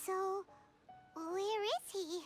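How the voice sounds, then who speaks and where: A woman voicing a tiny, excitable, childlike fairy companion in a video game, squeaky, curious and exclamatory. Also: drawn-out words, heavily acted. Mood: curious, eager. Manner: exclamatory, wide-eyed. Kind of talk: video game dialogue acting. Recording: music behind the voice.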